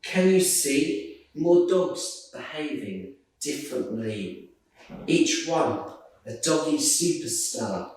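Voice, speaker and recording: A man reading a rhyming children's picture book aloud in a measured, sing-song way. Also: a little high, expressive, a little mellow. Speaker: storybook reader. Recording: roomy echo, distant microphone.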